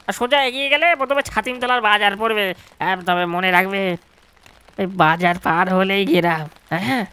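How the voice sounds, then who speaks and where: A man's voice: high voice, moderate pace, sharp tone, clean tone. A man playing a village local in an audio horror story, giving folksy, secretive warnings peppered with little tag questions. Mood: helpful, cautioning. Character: village man pushing a bicycle, mysterious, cautioning local.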